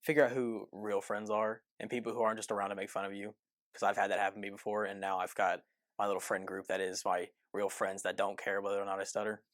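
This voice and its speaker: A man who stutters talks quickly in a flat, lowish voice. The recording is close and dry. He speaks candidly in an interview about living with a stutter. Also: dry recording. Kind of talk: documentary interview.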